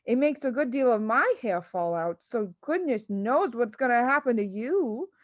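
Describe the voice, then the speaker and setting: Woman voicing a shrill, scolding wife and mother. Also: animated, normal speed, no room sound, studio quality, close to an everyday voice. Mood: matter-of-fact, scornful. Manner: shrieking, scolding.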